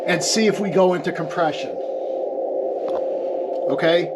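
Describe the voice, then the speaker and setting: A man's voice: sits high, unhurried. A man giving an informal, hands-on technical demonstration as an amateur experimenter, explaining as he goes and checking in with the viewer. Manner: explanatory, checks in with "okay" and "right". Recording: clear steady background noise.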